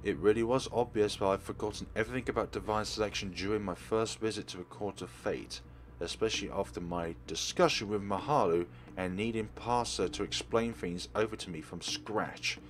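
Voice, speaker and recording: A man's voice: fairly low pitch, steady tone, brisk, natural voice. A man reading aloud a teenage girl's inner narration from a game in his own voice, with small restarts. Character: teenage girl narrator, average and nosy. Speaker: Let's Play reader.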